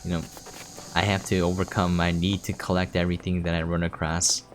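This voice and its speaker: A man's voice: low voice, flat delivery, fairly quick, slight background noise. A man giving casual gameplay commentary as a streamer, rambling and thinking aloud as he plays. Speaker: game streamer. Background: game music.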